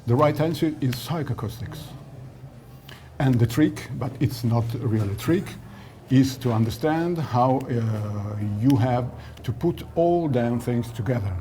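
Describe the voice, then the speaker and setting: A man's voice: medium pitch, unhurried, mellow. A man giving a technical explanation in an interview, didactic and often searching for words, at a noisy trade show. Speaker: loudspeaker designer being interviewed. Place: audio trade show in Milan.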